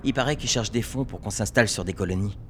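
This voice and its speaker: An actor playing a naive, dreamy young conscript with a casual, slangy way of talking in an audio drama. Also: male voice, on the low side, quick pace, fairly bright tone, slight background noise, close to an everyday voice. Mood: wistful.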